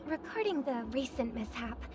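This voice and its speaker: An actress voicing a gracious young female idol in a video game, speaking in a polite, measured way over music. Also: high voice, animated, noisy background. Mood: gracious, serene. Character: gracious young female pop idol, secretly a weary impostor. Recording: game music playing behind.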